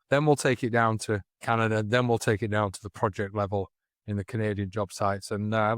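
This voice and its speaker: A man's voice: medium pitch, no room sound, studio quality. A man hosting a podcast, interviewing in a casual, conversational way. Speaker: podcast host.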